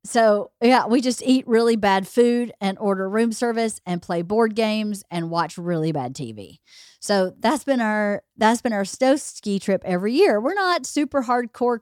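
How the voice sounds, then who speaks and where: A woman hosts a podcast and chats casually, rambling from one point to the next. Her voice is slightly hoarse, as if she is losing it, and it sits at an ordinary middle pitch. She is recorded close on a podcast mic with a dry, dead sound. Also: no room sound. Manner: chatty, rambling storyteller. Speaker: podcast host. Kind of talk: casual chat.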